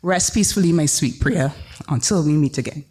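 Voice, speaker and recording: A man speaks at a memorial, bidding a tender, solemn farewell. His voice sits a little higher than most men's. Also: brisk, a little mellow, husky. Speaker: eulogy speaker. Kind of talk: delivering a eulogy.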